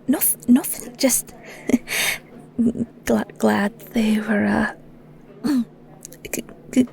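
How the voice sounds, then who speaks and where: A woman with a Scottish accent playing a shy, flustered young werewolf shepherd in an intimate audio roleplay, stammering awkwardly in a soft, breathy voice. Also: fairly high pitch, steady tone, very slow, whispered, heavily acted. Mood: nervous, embarrassed. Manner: stammering, giggly, awkward, hesitant.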